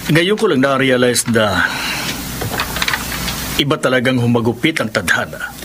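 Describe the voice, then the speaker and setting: A man's voice: mid-range voice, lively intonation, unhurried, noisy background, lightly acted. A man with a Filipino accent playing an exasperated, anxious middle-aged father in a radio drama. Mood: wistful, reflective.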